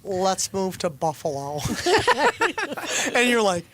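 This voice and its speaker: An animated speaker with a mid-pitched voice banters casually in a group. The delivery is playful and joking, over faint room noise. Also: takes it slowly.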